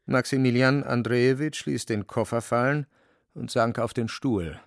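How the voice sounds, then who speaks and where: A man narrating a literary radio play as scripted storytelling, with a creaky voice. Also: medium pitch, steady tone, normal speed, dry recording, studio quality, close to an everyday voice.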